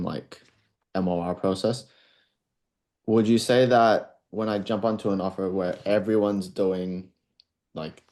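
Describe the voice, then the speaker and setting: A man's voice: low voice, a little mellow. A man speaking informally as a coaching client, asking questions in a tentative, agreeable way. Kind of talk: casual question on a coaching call.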